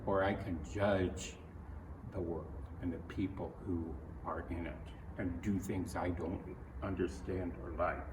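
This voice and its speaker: A man from Ohio with an American accent reads a picture book aloud to children, adding his own earnest, gentle comments in halting phrases. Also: low-pitched, fairly even intonation. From Ohio, United States. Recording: roomy echo, distant mic, faint noise.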